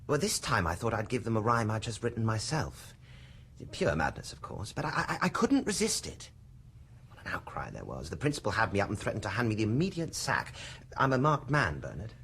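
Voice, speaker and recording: A wry, restless young writer. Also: male voice, fairly low pitch, huge pitch swings, brisk, creaky, fairly bright tone, a little hiss or hum, full character voice. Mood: thoughtful. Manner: thoughtful, self-mocking, hesitant. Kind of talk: radio drama acting.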